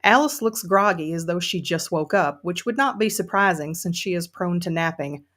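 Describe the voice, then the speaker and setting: A woman's voice: lowish voice, normal speed, fairly bright tone, lightly acted. A woman playing a seething middle-aged Southern woman, reading first-person fiction with sardonic emphasis and a Southern US accent. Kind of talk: dramatic first-person audiobook-style reading.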